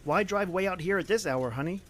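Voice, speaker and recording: A man's voice: high voice, brisk, a little hiss or hum, natural voice. An actor playing a young state trooper reassuring his sweetheart, in tender old-time radio drama style.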